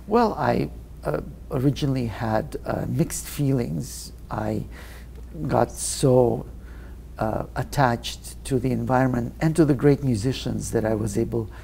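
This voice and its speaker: A man from Russia, answering interview questions slowly and thoughtfully, with many hesitant pauses. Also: medium pitch, creaky, warm tone, a little hiss or hum. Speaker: guest pianist.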